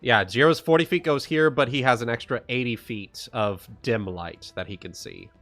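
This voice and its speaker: A man's voice: mid-range voice, moderate pace, a bit bright, soft background music. A man playing a tabletop role-playing game in a laid-back way, explaining vision ranges and rules. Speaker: player. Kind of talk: casual play over voice chat.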